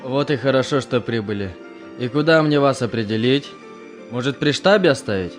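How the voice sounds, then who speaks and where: A man playing a gruff, commanding army major who is exasperated but protective, in an amateur audio drama. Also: medium pitch, lively intonation, moderate pace, faint music behind, close to an everyday voice. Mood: stern, dissuading. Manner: commanding, stern.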